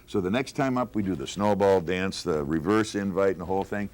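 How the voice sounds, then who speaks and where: Older male, low-pitched. Veteran broadcaster telling animated, folksy recollections in conversation. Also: brisk. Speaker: veteran radio personality. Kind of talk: reminiscing in a group interview.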